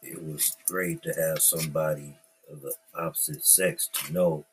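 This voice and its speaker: A middle-aged man hosting his own podcast, talking in a slow, laid-back and profane ramble, musing aloud about whatever is on his mind. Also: very deep voice, steady tone, noisy background. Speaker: podcast host. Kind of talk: casual rambling monologue.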